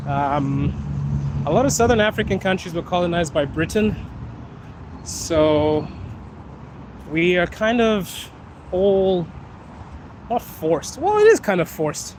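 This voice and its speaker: A young man from Zimbabwe hosting a relaxed live Q&A while walking outdoors. He has his sinuses bothered by pollen, laughs often and drags out some words. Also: fairly high pitch, noisy background. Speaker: host. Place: outdoors on a city street.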